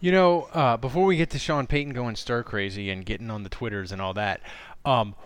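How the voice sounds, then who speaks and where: A man's voice: medium pitch, a little fast, clear, resonant tone, no echo. A man hosting a casual sports interview and asking the guest questions. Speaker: podcast host asking questions.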